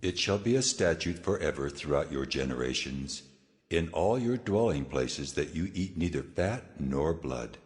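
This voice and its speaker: An actor voicing a solemn, commanding God, speaking with slow authority in a dramatized scripture reading. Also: male voice, extremely low pitch, lively intonation, faint music behind. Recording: long cavernous reverb.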